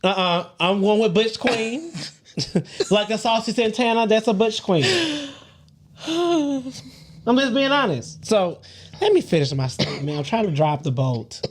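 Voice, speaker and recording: A podcast co-host with a noticeably high, light man's voice. He tells his stories with sass and plenty of laughter, swearing freely along the way. His talk is loose and full of attitude. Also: measured pace, pauses often. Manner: animated, sassy, swears freely, laughs often. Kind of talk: casual group chat, storytelling.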